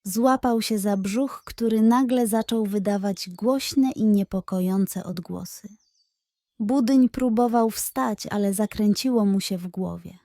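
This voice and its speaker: Unhurried woman narrating a children's tale. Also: mid-range voice, dark tone, no room sound, studio quality. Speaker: storyteller. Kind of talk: scripted children's bedtime story narration.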